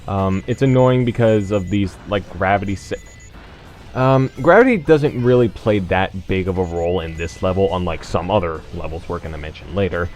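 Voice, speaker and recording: A man's voice: low-pitched, moderate pace, darkish tone. A man giving casual gaming commentary, explaining things in a hesitant way. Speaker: let's play commentator. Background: game music.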